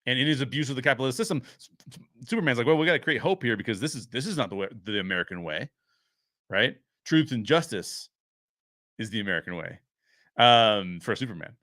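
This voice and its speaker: A man's voice: medium pitch, expressive, brisk, a bit bright, dry recording, studio quality. A man hosting a review show, giving casual, opinionated commentary in an explanatory way, stressing key phrases. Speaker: host of a pop-culture review show.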